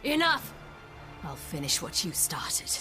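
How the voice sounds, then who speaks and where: A woman's voice: low voice, very animated, slow pace, pushed and bright, soft background music, heavily acted. An actress playing a resolute young woman warrior bent on payback in a fantasy video game. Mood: hostile.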